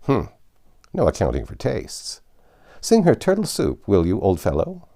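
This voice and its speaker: A man's voice: fairly low pitch, wildly swooping pitch, measured pace, dark tone, dry recording, studio quality, exaggerated voice. A man voicing a brusque, impatient gryphon in a storybook reading, with curt, commanding delivery. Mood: offended, bossy. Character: brusque, impatient gryphon, bossy old creature. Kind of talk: storybook character voice.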